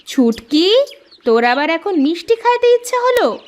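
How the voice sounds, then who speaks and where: A woman's voice: very high-pitched, big pitch swings, normal speed, pitched above the performer's own voice. A woman voicing a caring, mildly chiding village wife and mother in a cartoon. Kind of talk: cartoon voice acting.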